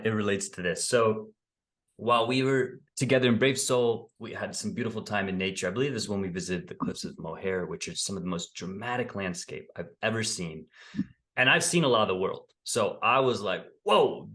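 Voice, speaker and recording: A man's voice: fairly low pitch, a bit bright. A man in his thirties hosting a podcast interview over a video call, affirming and enthusiastic, speaking into a desk mic in his home office. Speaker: podcast host. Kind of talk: warm interview conversation. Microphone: desk condenser mic, video call.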